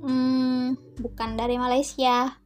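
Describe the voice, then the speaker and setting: Girl doing a chatty podcast, kinda high voice, slangy and playful, music playing under her. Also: flat delivery, slow, darkish tone. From Indonesia. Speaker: podcast host. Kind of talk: casual chatty solo podcast monologue.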